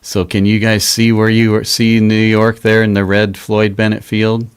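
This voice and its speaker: Low-pitched man, clean dry sound. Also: monotone, normal speed, clear, resonant tone, no room sound. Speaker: livestream host. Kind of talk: casual interview on a livestream. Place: live video stream.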